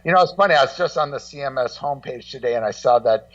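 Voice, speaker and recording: A man in casual radio conversation, rambling and halting as he repeats words and acts out what other people say. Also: mid-range voice, lively intonation, no room sound. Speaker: guest co-host and music documentary maker. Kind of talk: casual radio talk, telling anecdotes. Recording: muffled, slightly distant voice feed.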